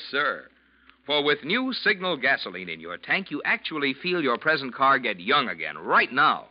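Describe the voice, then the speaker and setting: A man reading a scripted 1940s radio commercial as announcer, in an emphatic, upbeat sales style. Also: on the high side. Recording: muffled old 1940s radio recording.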